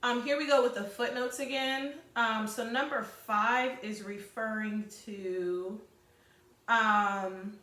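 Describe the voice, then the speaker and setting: A woman teacher leading a read-aloud lesson, informally addressing pupils with explanatory asides and slightly halting reading. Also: on the high side, steady tone, pushed and bright. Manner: halting, self-correcting reading. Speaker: sixth-grade teacher. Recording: echoey room.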